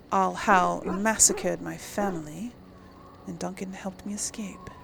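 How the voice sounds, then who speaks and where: A woman's voice: fairly low pitch, fairly even intonation, takes it slowly, creaky, noisy background. A woman casually commenting on and role-playing through a video game, musing in a hesitant way. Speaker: gaming video host.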